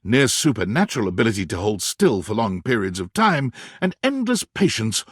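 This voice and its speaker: An actor playing a wry, dramatic male storyteller, narrating a romance game in a theatrical, expressive way. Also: on the low side, moderate pace, slightly rough, no room sound, studio quality. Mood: wry, playful. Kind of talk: scripted second-person narration for a dating game.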